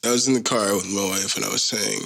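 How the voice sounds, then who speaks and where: A man's voice: lowish voice, steady tone, quick pace, creaky, a bit bright. A man in his thirties hosting a solo podcast, talking in a loose, rambling, slangy and joking way. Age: 30s. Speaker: podcast host. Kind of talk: casual solo podcast chat.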